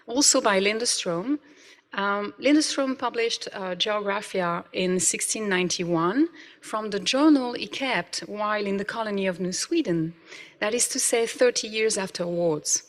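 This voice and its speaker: A woman's voice: mid-range voice, unhurried. A woman, an English speaker, giving an academic conference talk, reading carefully with frequent "uh" fillers. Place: conference hall. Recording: reverberant hall.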